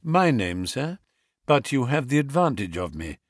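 Gentleman sleuth played by a man: bland politeness, dry amusement, expressive range, close dry sound. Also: medium pitch, a little slow, dry recording. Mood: bland, unruffled. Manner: imperturbable, polite, wry. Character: cool, bland, amused male gentleman detective.

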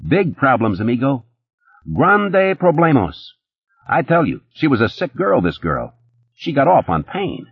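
A twitchy, boastful playboy, put on by a male narrator, his voice jumping up high and swinging all over as he rushes his words. The husky tone stays dry and close, with a slightly dull, muffled sound. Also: very animated, no room sound, exaggerated voice. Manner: rapid, nervous, boastful, pitched high and pushy. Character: jittery fast-talking male nightclub playboy, sleazy.

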